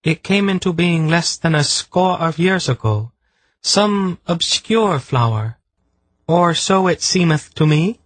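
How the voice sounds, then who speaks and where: A man narrating an audiobook voices a solemn blindfolded woman seeress who speaks in slow, archaic, formal phrases. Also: a little high, animated, fairly bright tone, no echo, pitched above the performer's own voice, full character voice. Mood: musing. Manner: archaic formal diction, slow drawn-out pleading.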